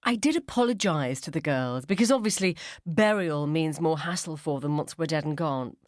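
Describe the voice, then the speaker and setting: A woman's voice: sits low, lively intonation, normal speed, dry recording, clean studio sound. A woman voicing a self-important, tedious mother who explains things in an earnest, patronising way in a radio story. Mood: earnest, self-satisfied. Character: self-important, tedious mother lecturing fellow mums over dinner.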